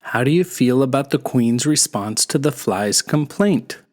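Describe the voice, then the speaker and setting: A storyteller giving an expressive scripted narration of a folktale for children. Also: medium pitch, measured pace.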